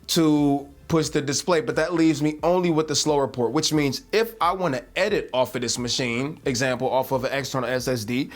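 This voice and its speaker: A man hosting an unscripted tech vlog, talking casually and energetically with slangy asides, his voice slightly hoarse from yelling. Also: a little high. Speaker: tech video host. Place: home desk setup indoors.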